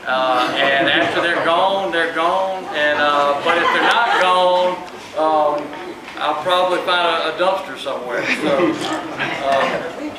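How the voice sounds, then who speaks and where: A man's voice: a little high, slow pace. A man giving a public speech as an author at a live book event. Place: room at a live event, distant microphone. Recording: echoey, noisy room recorded from far off.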